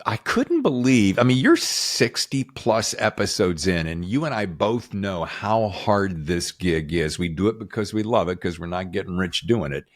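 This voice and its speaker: Deep, lively Southern man hosting. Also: normal speed, hardly any pauses, no room sound, clean studio sound. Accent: Southern American. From American South. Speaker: podcast host. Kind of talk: casual interview conversation.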